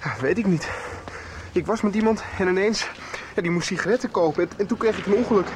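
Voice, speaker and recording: An actor playing a dazed, shaken young man in a radio drama, speaking haltingly and repeating himself. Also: high voice, background noise, lightly acted. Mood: dazed, confused. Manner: halting, trembling, repetitive. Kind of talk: 1990s radio drama acting.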